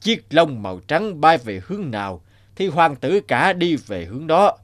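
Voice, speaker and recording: A man voicing an aging, authoritative king with a deliberate regal manner in a cartoon. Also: a little high, big pitch swings, dry recording. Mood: solemn. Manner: slow, regal.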